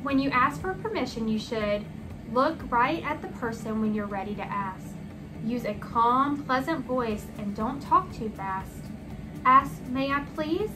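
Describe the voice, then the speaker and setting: A woman voicing a firm, patient father who instructs his son in a measured way in a storybook. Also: a little high, lively intonation, over music, rough recording. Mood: firm, instructive.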